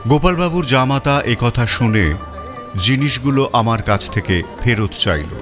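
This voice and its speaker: Male audiobook narrator for detective fiction, mid-range voice, animated melody, measured pauses, light music bed underneath. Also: medium pitch. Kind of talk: scripted first-person detective story narration.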